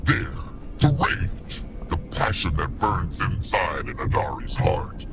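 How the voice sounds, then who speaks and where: Menacing fantasy game warlord: slow, gravelly, pompous and swooping, under loud music. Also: male voice, sits low, big pitch swings, exaggerated voice. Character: menacing, grandiose male warlord calling himself Dragon King. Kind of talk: dramatic fantasy video game acting.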